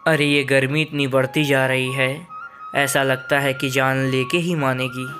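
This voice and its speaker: An ordinary, mild working husband from a cartoon story, played by a man. He talks in a plain, conversational male voice. Music is clearly heard behind him. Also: mid-range voice, flat delivery, a little slow, lightly acted. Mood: complaining. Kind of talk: animated story dialogue.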